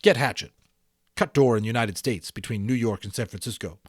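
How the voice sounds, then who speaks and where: A man's voice: medium pitch, fairly quick, no room sound, lightly acted. Guy doing a blunt storybook tribesman, clipped and unimpressed, dry sound. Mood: unimpressed, decisive.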